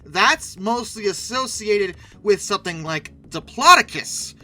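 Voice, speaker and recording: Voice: medium pitch, expressive, a little slow, sharp tone, faint music behind. A video host giving casual, sarcastic commentary with emphatic delivery.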